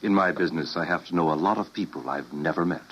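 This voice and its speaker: A man's voice: a bass voice, quick pace. An actor playing a cool, businesslike security man with clipped, factual delivery in an old radio drama. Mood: cool, wry. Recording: muffled vintage radio drama recording.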